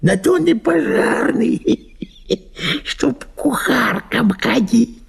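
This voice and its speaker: A man's voice: very high voice, expressive, extremely slow, creaky, slightly rough, voice pushed up, full character voice. A man playing a sleepy, grumpy, cynical husband who mumbles and drawls in an audio play. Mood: mocking.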